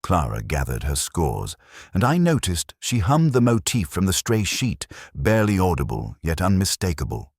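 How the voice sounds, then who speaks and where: A man narrates a literary detective mystery in a very deep voice. His delivery is measured and formal, yet the intonation moves up and down a good deal. The sound is dry, with almost no room to it. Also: huge pitch swings, breathy edge, no room sound. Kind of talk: scripted first-person detective mystery narration.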